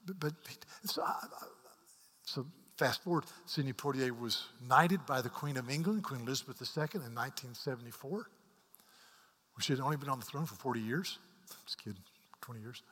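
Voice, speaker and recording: A man preaching a sermon as a pastor, earnest, repeating words as he rises to emphatic peaks and drops to hushed asides. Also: mid-range voice, normal speed. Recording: long hall reverb.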